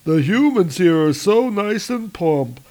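A man voicing a crude, hungry male troll from a comic, pitched up and gloating, in a loud, brutish manner. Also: lively intonation, measured pace.

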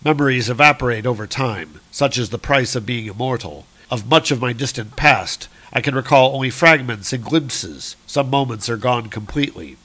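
A man's voice: mid-range voice, moderate pace, hardly any pauses, pushed and bright, low-quality recording. A man voicing a proud, grave warrior god of thunder with declamatory, heroic speech for a comic-book story. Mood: solemn reminiscing.

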